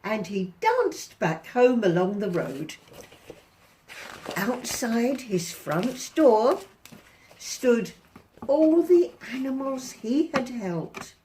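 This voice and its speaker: A woman reading a picture book aloud to children as a storyteller, in a theatrical, deliberate, sing-song way. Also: a little high, very animated, warm tone, slight background noise. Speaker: volunteer storyteller.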